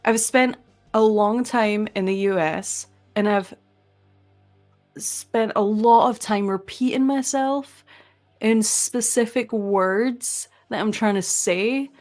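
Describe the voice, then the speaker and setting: A woman's voice: medium pitch, slow, darkish tone, faint music behind. A woman from Scotland whose Edinburgh accent is mixed with American tones, co-hosting a casual live stream. She laughs often and fills her talk with "like". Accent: Edinburgh Scottish mixed with American. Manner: chatty, laughs often, frequent "like". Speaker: podcast co-host.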